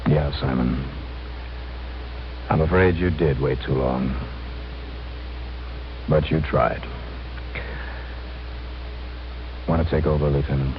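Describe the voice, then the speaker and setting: A man's voice: a bass voice, steady tone, measured pace, long pauses, noisy background. A man acting a cool, wry American insurance investigator in a hard-boiled radio drama, clipped and understated. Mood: grave, gentle. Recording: muffled old radio recording with music.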